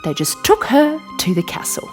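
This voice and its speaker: A woman narrating a scripted children's fairy tale in an expressive, dramatic way. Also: high voice, normal speed. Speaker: storyteller, narrator. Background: music.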